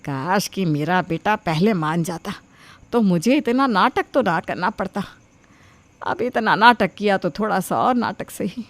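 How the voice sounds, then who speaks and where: A woman playing a scheming, manipulative older mother in an animated moral story, theatrical and scolding. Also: mid-range voice, a little slow. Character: scheming, melodramatic ageing mother nagging her son to marry.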